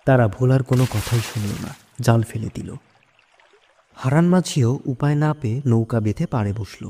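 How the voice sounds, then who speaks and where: A man's voice: mid-range voice, a little fast, mellow, slight background noise. A man narrating a scripted horror story as the storyteller. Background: music.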